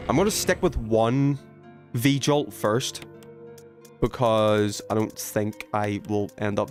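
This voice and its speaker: A man in his twenties chatting casually on a gaming livestream, rambling informally and talking to himself as he plays. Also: on the low side. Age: 20s. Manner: rambling, informal, self-talking while playing. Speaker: video game streamer.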